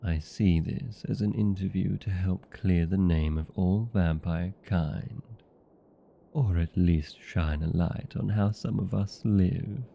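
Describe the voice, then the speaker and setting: A man playing a vain, playful, chatty old vampire in a comedic audio roleplay, with a gravelly, husky voice and theatrical, courteous manner. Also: low-pitched, takes it slowly, warm tone, full character voice. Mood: earnest, chatty.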